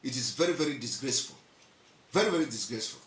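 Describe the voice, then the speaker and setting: A man's voice: medium pitch, animated, rapid-fire, far from the mic, background noise. A man with a Nigerian accent giving an impassioned, repetitive political monologue, mocking and emphatic. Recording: roomy echo, off-mic.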